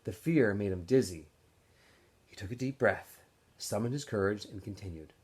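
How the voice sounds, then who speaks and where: A man narrating a novel aloud in an expressive storytelling style, as if for students. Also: on the low side, moderate pace, husky. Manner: animated storyteller. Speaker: teacher or school staff reading aloud to students.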